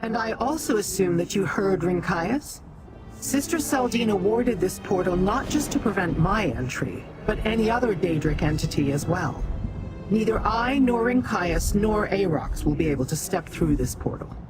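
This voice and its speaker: A woman's voice: medium pitch, lively intonation, natural voice, low-quality recording. An actress playing a commanding, guarded female leader in a fantasy video game, speaking formally over music. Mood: businesslike. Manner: formal, measured, imperious. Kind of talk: scripted fantasy game dialogue.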